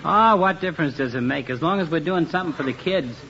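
Brash regular guy, high voice, blunt, slangy, 1950s radio comedy, muffled, faint hiss. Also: animated, fast, pushed and bright. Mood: brash, impatient. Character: brash, wisecracking regular-guy club member, adult male. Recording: muffled hissy 1950s radio, audience behind.

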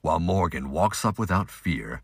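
Very deep-voiced man narrating. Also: animated, normal speed, no room sound, studio quality. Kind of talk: scripted audiobook-style narration.

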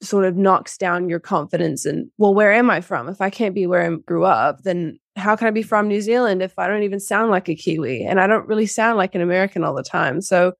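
A woman's voice: mid-range voice, a little fast, vocal fry, few pauses, no room sound, clean studio sound. A woman in her twenties who grew up in Dubai, a guest co-author talking casually in an interview, searching for her words with many fillers and restarts.

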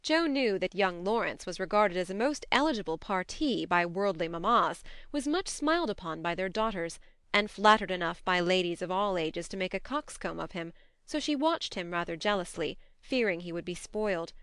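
A woman reading a classic novel aloud as an audiobook narrator, in an expressive literary storytelling style. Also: fairly low pitch, moderate pace, clear, resonant tone, dry recording, clean studio sound.

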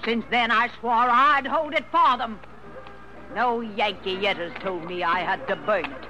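A proud, grieving die-hard from a vintage radio western. The voice is very high and piercing, with slow, defiant delivery. Faint music sits behind it. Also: male voice, poor audio. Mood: proud defiance. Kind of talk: 1950s American radio western acting.

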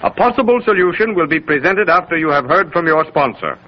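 A man's voice: on the high side, expressive, a little fast. A man narrating a scripted 1930s radio drama in a solemn, oratorical, emphatic manner. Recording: muffled, hissy 1930s radio recording.

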